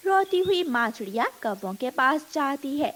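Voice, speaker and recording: A woman's voice: high-pitched, very animated, normal speed, fairly bright tone. A woman reading a scripted children's story as its narrator. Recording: noisy with hiss.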